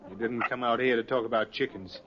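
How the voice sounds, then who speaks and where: An actor playing a surly, defensive chicken farmer in an old radio drama. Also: male voice, mid-range voice, fairly even intonation, brisk, a crowd in the background, lightly acted. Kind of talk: 1950s radio drama acting. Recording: noisy muffled radio recording, farmyard fowl behind.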